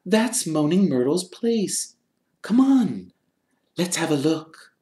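A reader voicing a brisk, sensible and slightly bossy schoolgirl. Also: medium pitch, big pitch swings, warm tone, voice pushed up. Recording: roomy echo.

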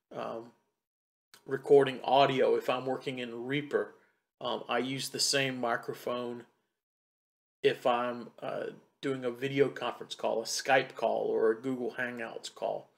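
Man, middle-aged, medium pitch, casual tech tutorial, halting, thinking aloud, desktop mic at home. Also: gravelly, fairly bright tone. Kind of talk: casual step-by-step gear tutorial. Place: home computer desk in a wood-panelled room. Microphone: desktop condenser mic about two feet away.

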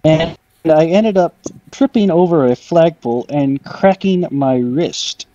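A man's voice: a little high, takes it slowly, mellow, no room sound. A young American man casually telling personal stories over gaming voice chat. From United States, Michigan. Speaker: guest storyteller on gaming video. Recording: dull lo-fi voice chat.

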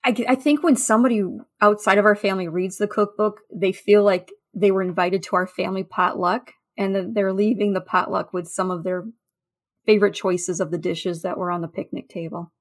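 A woman guest in a conversational podcast interview, telling anecdotes in a halting, thinking-aloud way. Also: mid-range voice, fairly even intonation. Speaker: interview guest, food history researcher.

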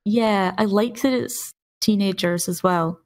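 A woman's voice: medium pitch, unhurried, a little mellow. A woman in casual, informal chat as a player on a gaming podcast, often acting out imagined quotes.

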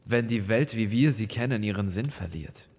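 A stern old pope, acted by a male storyteller in a horror audio story. He speaks with cold, quiet menace and holds his anger tightly in. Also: on the low side, expressive, normal speed, big-room sound, slight background noise. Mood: cold challenge. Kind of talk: dramatic audio story dialogue.

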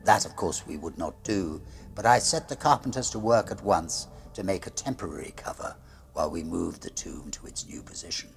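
A middle-aged man reading a gothic horror tale as a diary, in a grave, deliberate manner that sinks to a hush at the ends of phrases. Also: sits low, animated, vocal fry. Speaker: storyteller reading a classic ghost story aloud. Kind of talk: dramatic gothic horror narration, read as a diary. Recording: music under the voice. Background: music.

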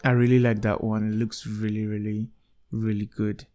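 A man's voice: lowish voice, normal speed. A young man hosting his own channel, giving a casual, enthusiastic walkthrough of a VR art gallery he built, recorded on earbuds indoors. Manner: relaxed, enthusiastic, repeats "really cool". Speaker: YouTube creator. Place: indoor room, wearing VR headset. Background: soft background music.

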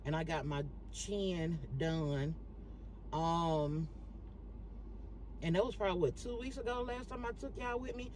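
A woman chatting casually to her viewers in a vlog, animated and talking straight to the camera from a parked car on her phone. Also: on the low side, unhurried, pauses often, slight background noise. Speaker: vlogger.

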